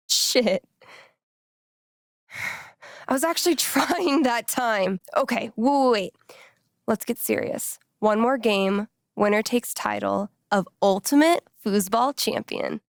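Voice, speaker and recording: A woman's voice: on the high side, lively intonation, takes it slowly, vocal fry, long pauses, dry recording, clean studio sound, heavily acted. An actress playing a wry, casual young woman in a video game, teasing and loyal. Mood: playful, teasing. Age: young adult. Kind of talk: naturalistic video game dialogue acting.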